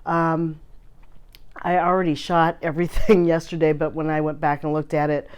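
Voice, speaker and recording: A woman hosting a casual fountain pen vlog, her voice hoarse from a recent illness, chatty and full of filler ums as she shows her pens. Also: deep voice, flat delivery, normal speed, a little mellow. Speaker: fountain pen channel host. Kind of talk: casual show-and-tell vlog.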